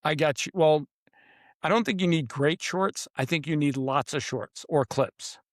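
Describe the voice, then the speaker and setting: Brief: male podcast guest with a fairly high voice, confident and emphatic, dry close sound. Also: measured pace, no room sound. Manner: emphatic, confident, repeats "literally". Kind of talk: casual podcast interview.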